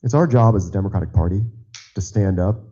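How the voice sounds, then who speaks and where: A man on a party committee who is hoarse from a cold, speaking off the cuff at a public meeting in a large auditorium, halting at first. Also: sits low, warm tone, highs cut off, soft background music. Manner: halting, then rising to conviction. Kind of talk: unplanned remarks at a public meeting.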